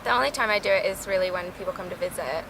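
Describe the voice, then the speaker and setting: A woman from Baltimore, a drama teacher, chatting in a street interview in a thoughtful, hedging way with soft endings. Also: fairly low pitch, very fast, gravelly. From Baltimore, USA. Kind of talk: casual street interview chat. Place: outdoors on a busy city street. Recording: street traffic noise behind.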